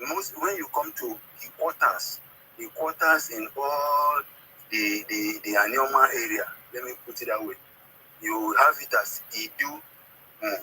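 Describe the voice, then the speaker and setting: Male caller, southern Nigerian accent, Ika native speaker, very sharp forceful tone, halting explanations, faint line noise. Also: medium pitch, very animated. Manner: halting, repeats words, checks understanding. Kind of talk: explaining history and language on a call-in. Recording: noisy call audio.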